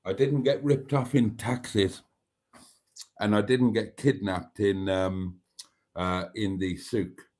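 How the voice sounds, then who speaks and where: A man's voice: on the low side, fairly even intonation, takes it slowly, darkish tone. An older man from Wales, Welsh his first language, hosting a casual live stream, chatting in a rambling, wry way as he reads out viewer comments. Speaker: livestream host.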